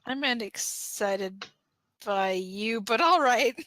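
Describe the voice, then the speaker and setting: A woman voicing a nervous, excited young man in an amateur fan fiction drama, with a casual, deadpan, unhurried delivery. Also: a little high, no echo. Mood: casual anticipation.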